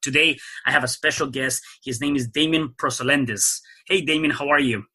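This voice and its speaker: A man's voice: mid-range voice, brisk, pushed and bright, breathy edge. A man hosting a podcast interview, upbeat, often repeating words as he starts a thought. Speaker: podcast host.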